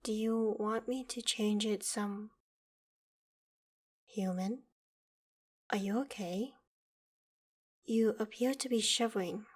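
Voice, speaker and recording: Slow-speaking, haughty female dragon, acted by a woman. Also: a little high, breathy edge. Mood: puzzled, concerned. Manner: formal, haughty, theatrical phrasing. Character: proud, vain ancient female dragon in human form. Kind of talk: ASMR roleplay audio drama.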